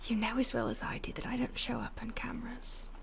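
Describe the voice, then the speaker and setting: A woman playing a playful, condescending vampire stalker in an intimate audio roleplay, teasing and haughty in a breathy voice that often drops to a whisper. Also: fairly high pitch, flat delivery, a little fast, exaggerated voice. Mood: smug, scornful.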